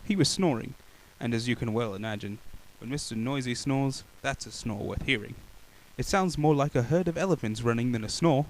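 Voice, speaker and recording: A man reading a children's picture book aloud in a playful, expressive way. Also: medium pitch, brisk, a little hiss or hum, low-quality recording. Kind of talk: animated storybook read-aloud.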